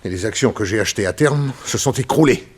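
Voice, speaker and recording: An actor in a 1960s French radio drama playing an anxious, weak-willed crooked racehorse owner, with a hesitant, pleading delivery. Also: male voice, lowish voice, animated, fast, husky.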